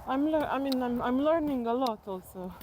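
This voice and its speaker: A woman's voice: high-pitched, animated, moderate pace, a little mellow. A middle-aged woman from Slovenia, chatting casually and reflectively as an interview guest while walking outdoors. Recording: outdoor walk with clear background noise.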